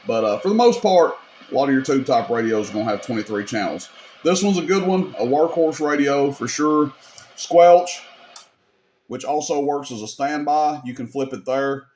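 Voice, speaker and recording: A man's voice: medium pitch, darkish tone. A man giving a casual hands-on equipment demonstration, explaining things step by step in an informal, folksy way. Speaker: radio repair video host demonstrating gear.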